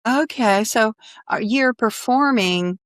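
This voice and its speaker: A woman's voice: fairly high pitch, slow, no room sound. Effusive middle-aged woman hosting, dry sound. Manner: effusive, encouraging. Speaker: podcast host. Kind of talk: casual interview chat.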